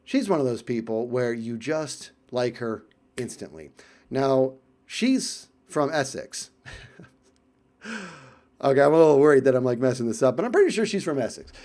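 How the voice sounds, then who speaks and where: An American man with a medium-pitched voice shares personal stories in a loose, casual style. He is animated and conversational, often slipping into little acted-out exchanges with a chuckle. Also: moderate pace. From United States. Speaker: video creator telling stories about friends. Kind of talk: casual anecdotal storytelling.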